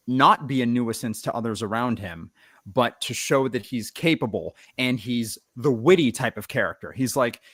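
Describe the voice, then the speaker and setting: A man speaks at a middling pitch in a very dry recording. He is a voice actor on a panel, chatting casually with a playful, joking manner. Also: a bit bright, no echo. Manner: playful, jokey.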